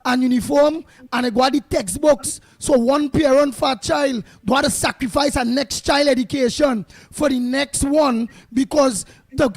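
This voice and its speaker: A man with a Trinidadian Creole accent chatting casually as a co-host, in an animated, colloquial, sing-song way. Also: extremely high pitch. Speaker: talk show co-host. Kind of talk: casual political chat.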